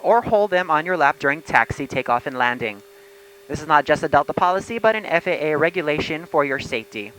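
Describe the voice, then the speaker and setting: A woman's voice: lowish voice, a bit bright, a little hiss or hum, rough recording. A woman working as a flight attendant, reading a scripted welcome announcement over an aircraft cabin PA. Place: inside airliner cabin.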